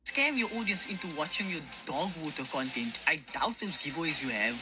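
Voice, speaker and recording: Brief: man reading a cutting callout, very lively pitch, music bed under him. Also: brisk. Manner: scornful, profane, mocking. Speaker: YouTuber calling out a rival. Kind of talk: scripted callout commentary.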